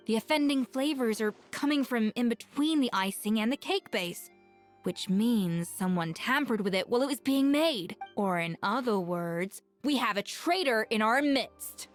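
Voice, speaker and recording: A woman voicing a confident female head chef with dry, withering wit and a calm, commanding manner. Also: fairly high pitch, a little slow. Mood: composed. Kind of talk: game voice acting. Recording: game audio with soft music.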